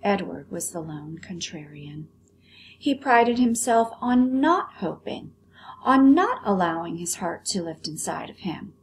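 A woman with an American accent, a teacher explaining words and reading a story aloud with clear phrasing for children. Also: medium pitch, big pitch swings, a little slow, a little hiss or hum. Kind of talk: vocabulary lesson and expressive story read-aloud.

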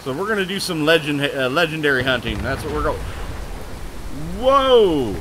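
A man streaming live gameplay, talking loud and excitably, with a booming voice, cursing at the game and answering chat. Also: normal speed, noisy background. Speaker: gaming streamer.

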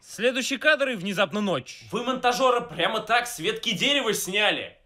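A man's voice: sits high, expressive, moderate pace, pushed and bright. A man in his twenties narrating a scripted comedic film review in a sarcastic, emphatic manner. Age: 20s.

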